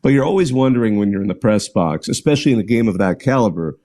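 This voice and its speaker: A man's voice: fairly low pitch, expressive, quick pace, warm tone. A man hosting a live call-in sports show, chatting casually and rambling with restarts and small laughs. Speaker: podcast host.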